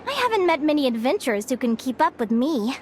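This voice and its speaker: A woman's voice: sits high, very animated, quick pace, background noise. A woman playing an enthusiastic young female guild advisor in a video game, sweet, girlish, eager and chatty. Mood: cheerful, instructive. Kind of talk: English video game voice acting. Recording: game music behind.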